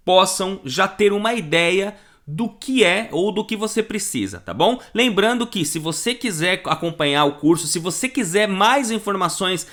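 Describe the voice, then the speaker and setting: A high-pitched man with a Brazilian Portuguese accent teaches an online course in a lively, emphatic way. He talks straight to the viewer and often asks rhetorical questions. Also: moderate pace, few pauses. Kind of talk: live online lesson.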